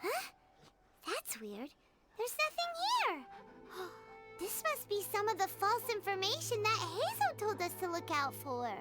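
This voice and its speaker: A woman voicing a tiny, curious, excitable girl companion in an anime-style video game, with a squeaky childlike voice. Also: measured pace, heavily acted. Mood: puzzled. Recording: loud game music over the voice.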